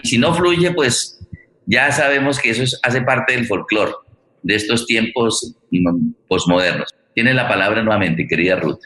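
A man's voice: lowish voice, animated, pushed and bright. A man hosting a live online forum conversationally, often repeating words as he gathers his thought. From Colombia. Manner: halting, repeats words and phrases. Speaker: forum host. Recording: echoey room over online stream.

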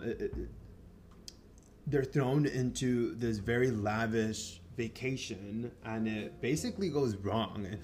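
Podcast host, male, Mexican-born, Spanish first language; mid-pitched, rambly film chat over faint music. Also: a little mellow. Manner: rambling, many fillers and restarts, swears casually. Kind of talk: casual film review chat.

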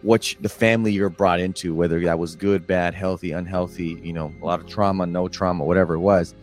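Man from South Texas, very low voice, thoughtful podcast guest, faint music underneath. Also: steady tone, a little fast. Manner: thoughtful, adds "right?" after phrases. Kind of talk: reflective casual conversation.

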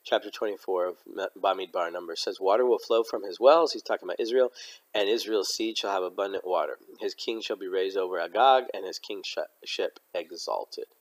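A man with a slightly hoarse voice hosting an informal Bible study, explaining in a halting, explanatory way. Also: low voice. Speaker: host of a Bible study podcast. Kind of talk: informal religious teaching with scripture readings.

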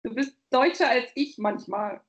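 A woman's voice: fairly high pitch, measured pace, muffled recording. A woman from Bavaria co-hosting a podcast, chatting casually, rambling and laughing often. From Bavaria, Germany. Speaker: podcast co-host. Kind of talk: casual chat.

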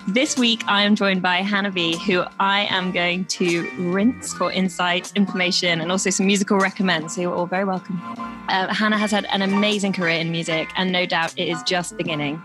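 A woman hosting a podcast over a remote call, relaxed and asking open questions. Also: fairly low pitch, brisk. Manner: easygoing, asks open questions and lets the guest talk. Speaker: podcast host. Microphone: remote video call. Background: music bed.

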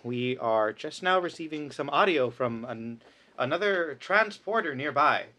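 A man's voice: a little high, expressive, a little slow, sharp tone. A man playing a grave, melodramatic announcer reading news bulletins in a radio play. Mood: solemn urgency. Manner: melodramatic, portentous.

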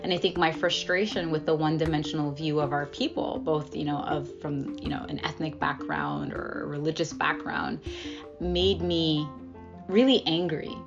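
A woman's voice: sits low, normal speed, muffled recording, music in the background. A woman journalist from the United States telling personal stories in a thoughtful, reflective way in an interview. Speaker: journalist guest.

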